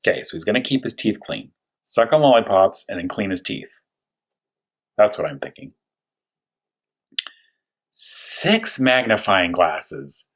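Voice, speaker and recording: A man with a low, husky voice speaks as a primary school teacher during a story read-aloud. He explains things simply for young children, wonders aloud in questions, and draws out important words for emphasis. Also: sing-song, unhurried, pauses often, fairly bright tone. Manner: thinks aloud with rhetorical questions. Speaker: first-grade teacher reading a story aloud.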